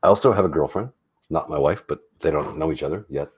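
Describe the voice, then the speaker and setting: A married man co-hosting a late-night stream, chatting casually in a deep bass voice with a joking, teasing manner. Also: fast, slightly rough. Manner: joking, teasing, laid-back. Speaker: stream co-host.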